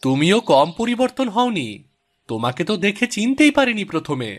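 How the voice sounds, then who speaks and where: A man's voice: high voice, big pitch swings, normal speed. A man voicing a stubborn, eager young man in a Bengali cartoon story. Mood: friendly.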